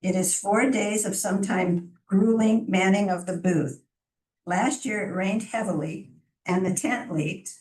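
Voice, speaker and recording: A soft-spoken woman gives a friendly, informal presentation to a city council, stumbling now and then over her words. Her voice sits at a middling pitch. It is picked up from a distance, with the echo of a large meeting chamber around it. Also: a little slow. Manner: friendly, informal, with small laughs and restarts. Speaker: chamber of commerce director presenting. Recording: echoey council chamber from far off.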